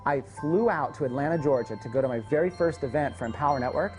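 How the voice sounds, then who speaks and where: A man tells his story openly, his voice rising and falling with lively movement. Music sits softly in the background. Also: medium pitch, fast talker, low-quality recording. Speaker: testimonial speaker. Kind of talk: personal testimonial. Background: faint background music.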